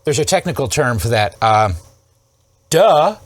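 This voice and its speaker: A man's voice: mid-range voice, very animated, unhurried, dry recording. A man hosting an electronics repair video, talking casually and emphatically through troubleshooting, with rhetorical questions and frequent check-ins. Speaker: host of an electronics repair series. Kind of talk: casual hands-on troubleshooting demonstration.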